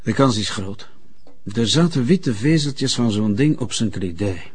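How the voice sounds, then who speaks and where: An actor playing a methodical Flemish forensic investigator in a drama, reporting in a formal, measured way. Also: male voice, medium pitch, lively intonation. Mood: matter-of-fact. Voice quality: husky. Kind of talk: drama acting.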